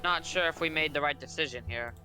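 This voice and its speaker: A man voicing an argumentative free-market minister in a fan reading of a political game, formal but slightly halting. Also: fairly high pitch, pushed and bright, poor audio. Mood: argumentative, insistent. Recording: music behind the voice.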